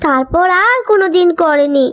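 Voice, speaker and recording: Woman playing a very high-pitched little village girl. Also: lively intonation, moderate pace, fairly bright tone. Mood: eager, pleased. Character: sweet, eager young village girl. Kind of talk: cartoon child acting. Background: music.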